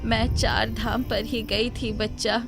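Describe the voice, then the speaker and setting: A woman voicing a kindly old grandmother, later a ghost, who speaks affectionately and reassuringly in an animated moral story. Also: a little high, takes it slowly, gravelly, music in the background. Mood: gentle, wistful. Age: older.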